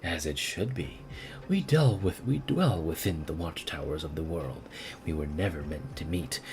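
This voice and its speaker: Man voicing a slow, whispery otherworldly watcher spirit. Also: low-pitched, noisy background, exaggerated voice. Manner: slow, grave, breathy half-whispered formal delivery.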